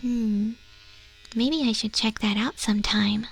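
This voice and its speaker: A woman voicing a friendly goth moth monster-girl tattoo artist in an ASMR roleplay, chatting gently in a soft, breathy, often whispered voice. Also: fairly high pitch, fairly even intonation, unhurried, faint music behind, poor audio. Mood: curious, chatty. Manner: gentle, casual, chatty, reassuring. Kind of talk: ASMR roleplay, spoken to the listener. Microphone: close microphone.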